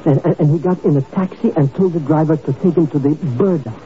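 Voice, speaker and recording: A man's voice: a little high, fairly quick, slight background noise, natural voice. A man playing a grumbling club servant turned informant in an old radio drama, with a hesitant, stammering delivery. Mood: eager, uncertain. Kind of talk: 1950s radio drama acting. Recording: muffled old radio recording, music.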